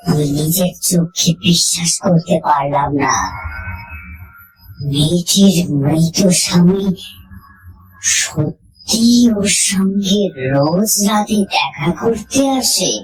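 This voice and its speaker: A woman narrating a scripted horror story in the first person, suspenseful and dramatic, with deliberate pauses. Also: lowish voice, low-quality recording. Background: music.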